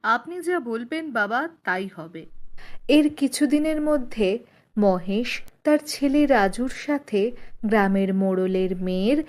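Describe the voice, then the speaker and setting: A woman with a high voice narrates a children's cartoon story. Her scripted delivery is slow and measured. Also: warm tone.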